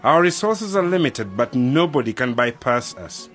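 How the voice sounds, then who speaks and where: An actor playing a pompous, self-important government official in a radio drama. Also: male voice, medium pitch, sing-song, normal speed, a bit bright, soft background music. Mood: boastful.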